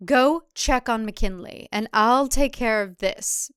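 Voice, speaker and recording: A woman's voice: on the high side, takes it slowly, fairly bright tone, clean tone, no echo, clean studio sound. A woman voicing a domineering, irritable mother who runs a cult, with a curt, scolding manner. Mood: curt, annoyed.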